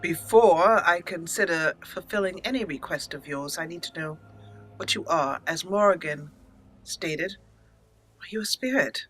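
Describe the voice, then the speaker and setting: A woman's voice: lowish voice, a little slow, fairly bright tone, faint music behind, poor audio. A woman hosting a gaming video, giving let's play commentary and roleplaying her character's lines.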